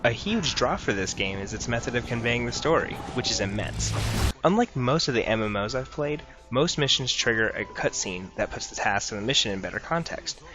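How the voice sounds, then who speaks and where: A man's voice: medium pitch, lively intonation, a little fast. A man narrating scripted video game commentary as a reviewer, with music in the background.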